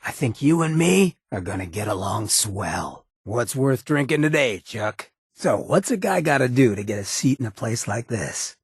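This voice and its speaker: An actor playing a swaggering, sardonic ghoul gangster companion in a video game, with a gravelly rasp and a wry, streetwise drawl. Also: male voice, medium pitch, sing-song, moderate pace, hardly any pauses, no room sound, studio quality, heavily acted. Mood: wry, casual. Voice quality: gravelly, raspy.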